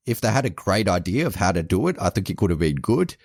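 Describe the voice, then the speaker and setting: A man co-hosting a podcast in casual chat, measured and thinking aloud as he gives his views. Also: low-pitched, big pitch swings, darkish tone, breathy edge, dry recording, studio quality. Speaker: podcast co-host.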